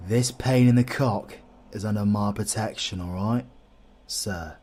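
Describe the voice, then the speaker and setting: Man playing a slow-talking cocky British supervillain. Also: lowish voice, a little mellow. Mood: defiant, protective. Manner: irreverent, sweary, colloquial. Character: cocky, foul-mouthed male dark-magic supervillain, protective. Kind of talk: audio roleplay acting.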